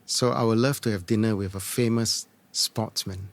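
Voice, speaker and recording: A middle-aged man answering interview questions as an experienced business leader, in measured, earnest, short deliberate phrases. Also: lowish voice, steady tone. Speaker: entrepreneur and former corporate leader, interview guest. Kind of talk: reflective conversational interview answers.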